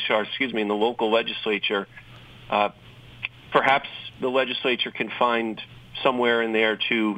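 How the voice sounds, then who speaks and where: New York-accented man, bright and distant. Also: medium pitch. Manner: chatty, joking asides, frequent restarts. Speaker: panel guest. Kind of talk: conversational talk show remarks.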